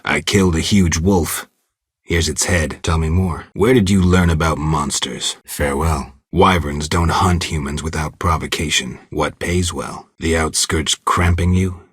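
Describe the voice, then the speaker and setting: A man playing a stoic, gruff, world-weary monster hunter in a video game, with terse, deadpan delivery and a gravelly voice. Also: no room sound, clean studio sound, heavily acted. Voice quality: gravelly, husky low voice. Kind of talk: video game character dialogue.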